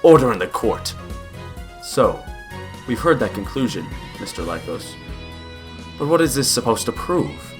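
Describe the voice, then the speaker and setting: A man's voice: mid-range voice, sing-song, measured pace, pauses often, soft background music. Man playing a formal courtroom judge. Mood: stern. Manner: formal, declamatory. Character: authoritative, formal male judge. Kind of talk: audio drama courtroom acting.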